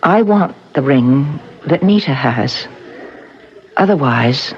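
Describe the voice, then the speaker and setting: A woman's voice: extremely low pitch, animated, slow pace, darkish tone, muffled recording, slight background noise, full character voice. An actress playing a guarded, touchy, romantic woman in an old-time radio drama. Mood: insistent. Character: guarded, romantic, touchy woman being courted, stubborn about her demands. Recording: band-limited old radio broadcast.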